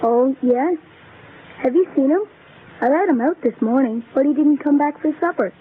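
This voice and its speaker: A performer playing an innocent-sounding, eerily calm young boy in a radio horror play, casual and matter-of-fact. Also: sits high, normal speed, slight background noise, lightly acted. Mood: eerily unbothered. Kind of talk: old-time radio horror acting. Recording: tinny phone-like radio drama with music.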